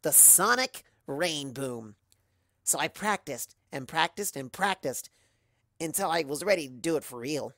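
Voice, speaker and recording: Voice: mid-range voice, lively intonation, measured pace, a bit bright, no room sound, studio quality. A storyteller voicing a brash, boastful young female cartoon pony, cocky, casual and emphatic.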